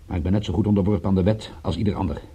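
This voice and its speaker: Low, husky, wary private eye. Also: male voice, steady tone, racing, warm tone, dull audio. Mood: guarded, wry. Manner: hesitant, dry, understated. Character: wry, wary male private detective, candid under threat. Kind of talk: radio drama acting.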